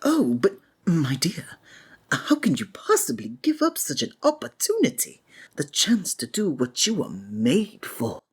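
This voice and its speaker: A woman playing a flamboyant, sinister male stage magician in an ASMR roleplay, with breathy, honeyed, flowery theatrical speech that often drops into a whisper. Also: fairly low pitch, wildly swooping pitch, measured pace, heavily acted. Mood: wounded, insistent.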